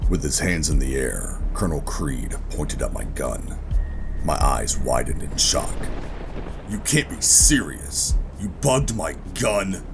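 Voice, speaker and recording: An actor playing a bitter, defiant sci-fi operative in a noir audio drama, brooding and deliberate in delivery. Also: male voice, very animated, full character voice. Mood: angry, defiant. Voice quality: very deep, gravelly. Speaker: narrator and lead character. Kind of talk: noir first-person audio drama narration and dialogue. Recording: dramatic music behind the voice.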